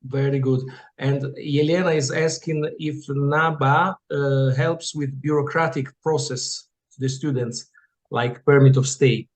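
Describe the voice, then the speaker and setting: A man's voice: medium pitch, steady tone, measured pace. Polite man moderating questions. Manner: polite, stumbles over names. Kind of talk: reading audience questions.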